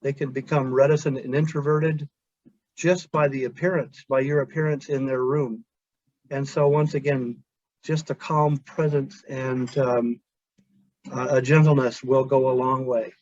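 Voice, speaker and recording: A male chaplain whose first language is Pennsylvania Dutch, giving a measured, earnest webinar talk with pauses and restarts. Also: mid-range voice, level tone, a little mellow, no echo. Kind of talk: webinar presentation. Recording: dull, dry lo-fi audio.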